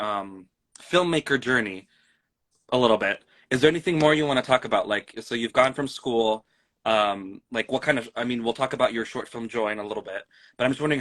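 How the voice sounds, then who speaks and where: Young guy being interviewed, bright voice, chatty with lots of like's. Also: mid-range voice. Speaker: interview guest. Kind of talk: casual interview chat.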